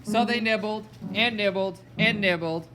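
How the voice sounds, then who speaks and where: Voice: mid-range voice, normal speed, low-quality recording. A storyteller narrating a fairy tale for a children's puppet show. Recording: loud music alongside the voice. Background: music.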